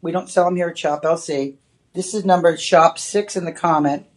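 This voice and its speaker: A woman's voice: on the low side, steady tone, normal speed. Boston-accented woman, shopping channel host, loose chatty on-air patter. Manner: chatty, rambling, with many "you know"s. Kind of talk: live on-air sales pitch. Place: TV shopping studio.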